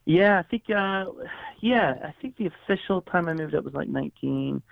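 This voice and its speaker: Gravelly-voiced man on a tinny line. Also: on the high side, animated, moderate pace, dry recording. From Florida, USA. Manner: rambling, self-correcting, laughs easily. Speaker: guest songwriter and producer. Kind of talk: casual interview chat. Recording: dry, phone-like line.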